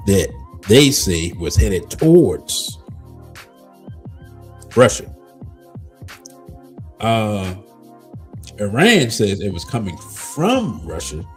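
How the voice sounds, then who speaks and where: A man hosting a live commentary show, talking slowly with many pauses and ums, and going hoarse. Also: lowish voice. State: losing his voice. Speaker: livestream host. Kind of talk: casual commentary reading news aloud.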